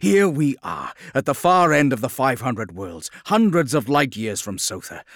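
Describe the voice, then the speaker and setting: A proud and savage commander performed by a male narrator, growling and imperious. The delivery is forceful and swings widely, as in a dramatic science fiction audiobook, with a dry, close sound. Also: fairly low pitch, animated, slow, no room sound, exaggerated voice. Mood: furious.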